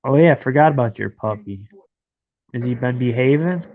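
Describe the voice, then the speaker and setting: A man's voice: medium pitch, animated, fairly quick, no echo. Stuffed-up man chatting on a phone stream. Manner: rambling, chatty, talks to viewers by name. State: stuffed-up nose. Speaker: live streamer chatting with viewers. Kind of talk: casual live stream chat. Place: in bed at home. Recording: dry, phone-like lo-fi sound.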